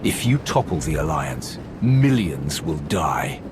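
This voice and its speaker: Game hero-tyrant: an imposing dark warrior commander, extremely low voice, formal, measured, noisy vehicle backdrop. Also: male voice, big pitch swings, exaggerated voice. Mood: defiant. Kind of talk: video game cutscene acting.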